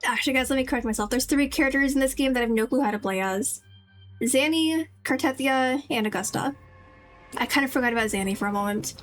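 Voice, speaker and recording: A woman's voice: high voice, fast. A woman streamer giving casual, chatty, musing commentary on a game with its music behind her.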